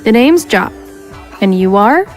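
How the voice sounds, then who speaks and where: A swaggering, flirty space smuggler voiced by a woman trades playful banter at a leisurely, drawn-out pace. The delivery is cocky and relaxed, the sound of an audio drama character. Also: on the high side, faint music behind.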